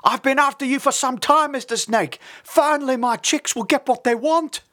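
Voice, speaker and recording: A narrator voicing a proud father osprey, pitched up high. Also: normal speed, fairly bright tone. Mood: triumphant. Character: colossal proud male osprey father, booming and amused.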